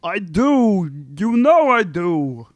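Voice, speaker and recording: A man's voice: high-pitched, big pitch swings, slow, dry recording, exaggerated voice. A man playing an insecure, whiny sitcom husband in an amateur table read, stammering and defensive. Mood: sullen.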